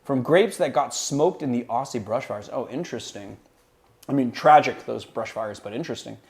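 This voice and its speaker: A man with a loud, resonant voice hosting a cocktail livestream, chatting casually and answering viewer questions. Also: medium pitch, animated, normal speed, vocal fry. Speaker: cocktail channel host.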